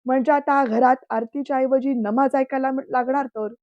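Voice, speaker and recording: A woman's voice: mid-range voice, steady tone, slow pace, dark tone, dry recording, lightly acted. Woman playing strict elderly uncle, curt and commanding, dry dull audio. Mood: angry. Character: stern traditional older uncle, head of house.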